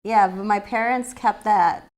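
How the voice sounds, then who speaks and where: A woman's voice: mid-range voice, steady tone, unhurried, a little mellow. A woman in her twenties, a guest talking casually about family and work with the odd "um". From United States. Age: 20s. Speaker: guest, restaurant CEO. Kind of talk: casual chat.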